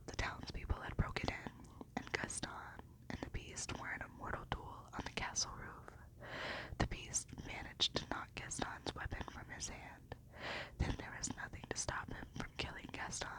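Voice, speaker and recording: A woman reading a storybook in a gentle, unhurried, breathy whisper in an ASMR style. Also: rough recording.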